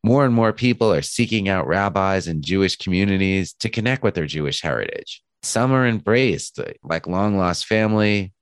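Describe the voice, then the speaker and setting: Hoarse deep-voiced man hosting a podcast. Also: animated, normal speed, few pauses, no room sound, studio quality. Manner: earnest, thoughtful, stops and restarts often. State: hoarse from a cold. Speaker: podcast host. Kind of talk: podcast introduction. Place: home studio.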